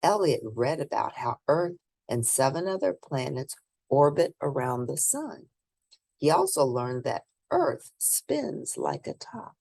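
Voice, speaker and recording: A woman reading a children's picture book aloud in an expressive, emphatic way, with asides to young listeners. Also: extremely low pitch, takes it slowly, creaky. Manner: animated, emphatic storytelling. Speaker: children's book reader and host.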